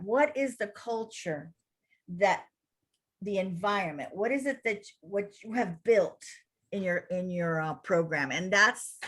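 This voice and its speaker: A woman with a Cuban accent, a childcare center director giving an energetic webinar presentation to attendees over a video call. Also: on the low side. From Cuba.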